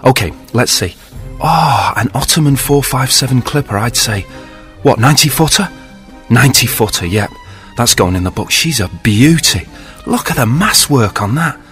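A man voicing an excitable young male ship enthusiast who gushes and stammers when shocked. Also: medium pitch, moderate pace, soft background music, close to an everyday voice. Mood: enthusing.

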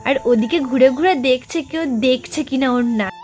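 A woman's voice: high-pitched, normal speed, a little mellow. A young woman narrating a casual family vlog, chatting directly to her viewers. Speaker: vlogger.

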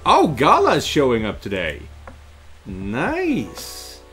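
A man's voice: medium pitch, huge pitch swings, takes it slowly, fairly bright tone. A middle-aged man playing a game on stream, reading lines aloud and commenting on them. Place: indoors at a desk, headset on. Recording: music behind the voice.

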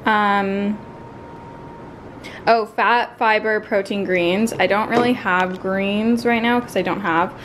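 A woman's voice: medium pitch, steady tone, takes it slowly, a bit bright, slight background noise, poor audio. A woman, chatting casually to camera in an informal, meandering way, as if thinking aloud. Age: 20s. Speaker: lifestyle vlogger. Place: home apartment kitchen, sometimes street. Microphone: handheld or propped camera.

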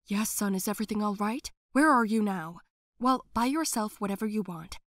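A woman voicing a scheming middle-aged nanny who talks high and flustered, evasive and then cold. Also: animated, normal speed, no room sound, clean studio sound, natural voice. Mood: sly.

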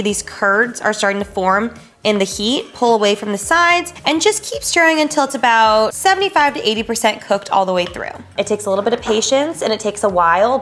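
A woman's voice: fairly high pitch, a bit bright. A young woman in her twenties hosting a home cooking tutorial, bubbly and chatty, gushing over the food. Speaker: cooking show host. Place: large home kitchen, indoors.

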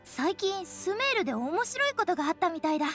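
A woman's voice: very high voice, very animated, fairly quick, heavily acted. A woman voicing an earnest, eager teenage girl ranger in a video game, speaking plainly with slight hesitation. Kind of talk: Japanese game character acting. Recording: soft music behind the voice.

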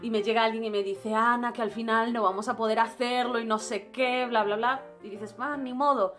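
A young woman from Spain with a Spanish accent chats to the camera in a small indoor room. She talks in an animated, expressive way, with a fairly high voice, and faint music plays underneath. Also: a little fast. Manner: animated, expressive, gestures a lot. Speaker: travel vlogger. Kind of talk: casual vlog monologue.

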